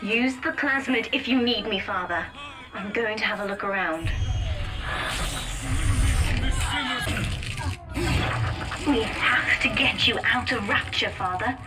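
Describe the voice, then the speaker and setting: A woman's voice: medium pitch, normal speed, music in the background, close to an everyday voice, rough recording. A woman playing a defiant, devoted young daughter in a video game, speaking with solemn intensity. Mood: earnest. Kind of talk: dramatic video game acting.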